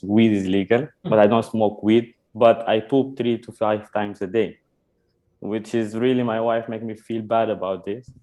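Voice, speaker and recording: A man from Sudan, not a native English speaker, doing a stand-up set in a halting way with many pauses. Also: lowish voice, dark tone, low-quality recording. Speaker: stand-up comedian.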